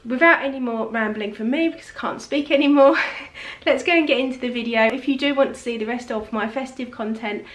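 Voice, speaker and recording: A woman in her forties with an English accent, hoarse from a cold, chatting upbeat through a home recipe tutorial. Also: on the high side, fairly bright tone. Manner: chatty and upbeat, rambling asides. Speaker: home cooking vlogger.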